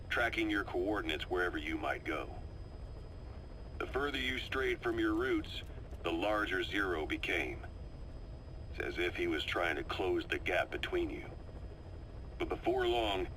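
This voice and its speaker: An actor playing a seasoned, shrewd former Soviet spy in a video game, coolly composed, giving a deliberate, confidential briefing with dramatic pauses. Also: male voice, low voice, pushed and bright, full character voice, low-quality recording. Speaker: intelligence operative briefing an old comrade. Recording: faint noise, cassette tape briefing.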